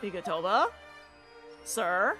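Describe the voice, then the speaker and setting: A woman voicing an excitable young Japanese man, a law student, in a fan dub of a game, reacting loudly to what happens. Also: medium pitch, takes it slowly, soft background music, exaggerated voice. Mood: surprised.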